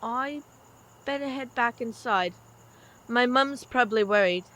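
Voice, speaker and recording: A woman's voice: on the high side, a little slow, a bit bright. A woman playing a curious, earnest young boy in an audio drama, asking questions in a hesitant way.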